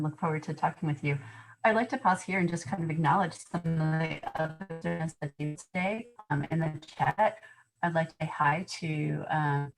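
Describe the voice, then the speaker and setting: A woman hosting an online museum event over a video call, informal and somewhat halting. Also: low voice, gravelly, poor audio. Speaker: host, museum events manager.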